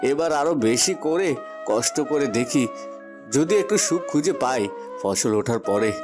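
A man's voice: medium pitch, expressive, measured pace, close to an everyday voice. A man playing a poor, hardworking young farmer in a cartoon fairy tale, earnest and plaintive, over music. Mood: weary, pleading. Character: poor hardworking young village farmer, dutiful, sorrowful son.

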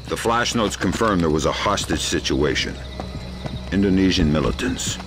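A man playing a dry, menacing veteran covert agent in a video game, deadpan and sardonic. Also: sits low, moderate pace. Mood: matter-of-fact. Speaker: lead character. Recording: loud music behind the voice.

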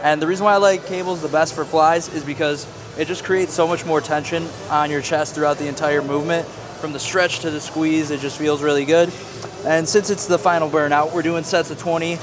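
A man's voice: on the high side, fairly even intonation, normal speed. A young man talking casually as a fitness vlogger, explaining a workout in a gym. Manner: upbeat, coaching cues, casual. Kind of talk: casual workout vlog narration and coaching. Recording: gym music playing behind. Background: music.

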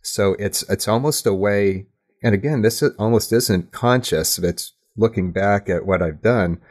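A man with a low-pitched voice talks as a guest in a conversational interview. He is reflective and thoughtful, often halting and restarting as he works out what he means. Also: darkish tone. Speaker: interview guest, audio drama writer.

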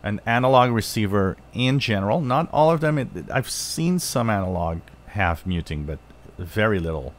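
A man hosting a hobby review, explaining things unscripted in halting short phrases, as if thinking aloud. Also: lowish voice, lively intonation. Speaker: channel host reviewing radios.

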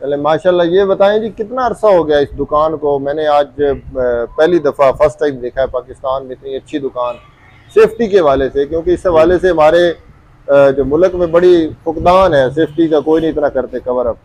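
A man's voice: a little high, moderate pace, darkish tone, rough recording. A young man, hosting a shop walkthrough video in a friendly, upbeat way, on a lapel mic in a large store. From Pakistan. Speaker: host. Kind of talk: vlog walkthrough interview. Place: large hardware shop, indoors.

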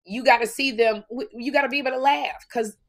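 A woman in her fifties, co-hosting a podcast, with a deep voice, telling stories animatedly and acting out what people say. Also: a little fast. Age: 50s. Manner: animated storytelling, acts out quotes. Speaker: podcast co-host. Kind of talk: casual faith-filled chat.

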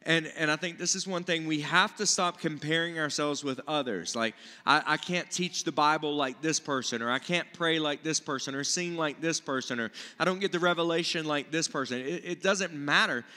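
A man's voice: on the high side, normal speed, few pauses, bright, forceful tone. A man in his forties, a pastor giving an earnest, informal, conversational Bible teaching from a stage. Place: stage of a church auditorium with seated audience. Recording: reverberant large-hall stage sound.

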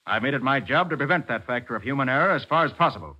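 An actor playing a confident, earnest master detective, assured and persuasive, in a 1940s radio drama. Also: male voice, mid-range voice, animated, very fast, husky. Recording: muffled 1940s radio recording.

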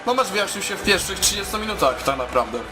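High-voiced man gaming, music behind. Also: lively intonation, fast. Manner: exclaims and mutters while playing. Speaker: gaming video host. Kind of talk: casual gameplay commentary.

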